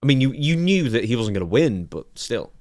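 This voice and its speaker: A man's voice: mid-range voice, very animated, fairly quick, dry recording, studio quality. A man co-hosting a podcast, recapping events in a casual, chatty way. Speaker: podcast co-host.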